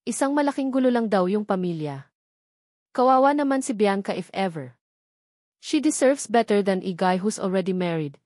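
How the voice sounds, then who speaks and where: A woman's voice: medium pitch, a little mellow, no echo, studio quality, natural voice. A woman with a Filipino accent voicing judgmental, catty online gossips in an audiobook drama.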